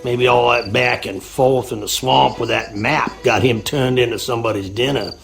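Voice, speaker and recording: An actor playing a folksy, skeptical handyman in a video game, with a gravelly Southern US drawl. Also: mid-range voice, fairly even intonation, normal speed, soft background music, close to an everyday voice. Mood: wry, folksy.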